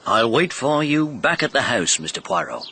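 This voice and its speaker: An animated, blunt British police inspector. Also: male voice, medium pitch, moderate pace, a little hiss or hum. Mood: dismissive. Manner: plain-spoken, emphatic. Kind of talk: British radio mystery drama acting.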